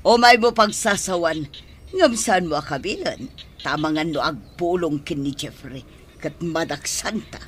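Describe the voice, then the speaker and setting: Brief: a sly schemer in a radio play, highish lively voice, conspiratorial. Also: male voice, a little slow, a little hiss or hum. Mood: conspiring. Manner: conspiratorial, warning. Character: conniving man plotting with a woman.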